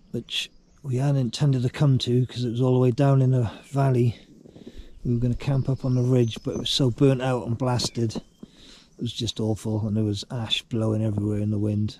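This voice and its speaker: A man's voice: mid-range voice, mellow. Middle-aged man vlogging outdoors, noisy sound. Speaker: hiking vlogger. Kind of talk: casual video diary chat. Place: outdoors on a trail and at a campsite. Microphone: action camera at arm's length or close by.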